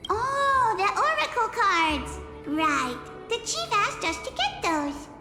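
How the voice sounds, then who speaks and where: A woman voicing a tiny, excitable female fairy with a cutesy, theatrical manner for a fantasy video game. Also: extremely high pitch, slow pace, heavily acted. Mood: chirpy, eager. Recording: echoey, long reverb, music behind.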